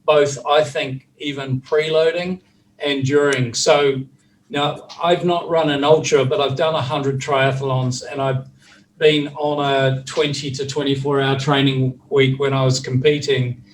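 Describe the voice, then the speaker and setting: A man's voice: mid-range voice, little pitch movement, a little slow, far from the mic, slight background noise, low-quality recording. A man with a New Zealand accent, chatting casually on a video call as a recovery specialist, with lots of fillers and restarts.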